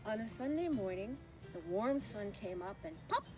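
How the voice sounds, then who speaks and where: A woman reading a children's story aloud as a naturalist, with simple expressive phrasing and asides that put questions to young listeners. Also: a little high, normal speed, over music. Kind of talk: children's story time read-aloud with show-and-tell asides.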